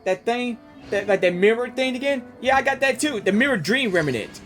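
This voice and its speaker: A woman's voice: on the high side, expressive, fairly quick, soft background music. A woman game streamer giving casual, informal reaction commentary and talking back to the characters.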